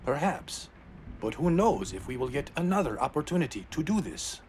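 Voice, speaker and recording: Courteous, self-assured male dignitary for a narrative game; lightly raised pitch, faint background noise. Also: very animated, moderate pace, a little mellow. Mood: polite, calm. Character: composed, courteous male public figure proud of his homeland. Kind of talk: video game dialogue acting.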